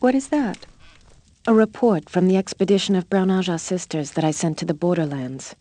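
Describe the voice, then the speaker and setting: A scholarly tower keeper acted by a woman in a fantasy game, composed yet worried. The voice is lowish and soft-edged, and the delivery is measured and explanatory. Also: no echo, close to an everyday voice. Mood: concerned. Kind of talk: dramatic game cutscene acting.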